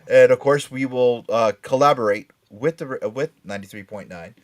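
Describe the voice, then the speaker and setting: A man's voice: mid-range voice, moderate pace. A man hosting a pop culture radio show, chatting casually and promoting events in a playful, teasing way.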